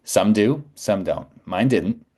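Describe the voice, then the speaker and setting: A man's voice: fairly low pitch, lively intonation, slow pace, mellow, slightly rough. A middle-aged man telling stories in a casual, conversational way as a podcast guest.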